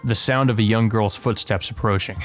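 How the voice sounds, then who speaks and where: A man's voice: fairly low pitch, steady tone, brisk. A man hosting a let's play, reading visual novel narration aloud and adding casual asides, with game music behind him.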